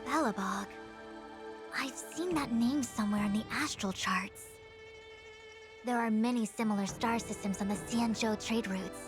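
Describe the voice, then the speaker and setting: A woman's voice: fairly high pitch, close to an everyday voice. A woman voicing a composed, authoritative seer in a video game, speaking formally and exactingly. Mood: matter-of-fact. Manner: formal, measured, imperious. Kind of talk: game voice acting. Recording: game music behind.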